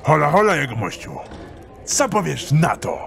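A man's voice: fairly high pitch, very animated, moderate pace, vocal fry, a bit bright, heavily acted. A man playing a fat, balding, hot-headed gambler in an audio drama, blustering and taunting. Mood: boisterous. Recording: noisy tavern background.

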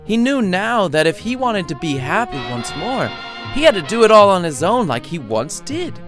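A man's voice: high-pitched, big pitch swings, moderate pace, fairly bright tone, faint music behind. A man reading his own short story as scripted audiobook narration, with a wry, playful storytelling manner.

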